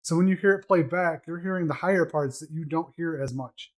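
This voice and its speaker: Male co-host, slightly high voice, casual explainer who talks things through. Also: fairly quick. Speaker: podcast co-host. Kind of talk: casual chat.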